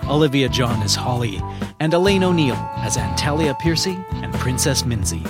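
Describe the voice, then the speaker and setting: A man with a medium-pitched voice reads scripted show credits as an announcer. Loud plucked guitar music runs underneath him. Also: animated, normal speed.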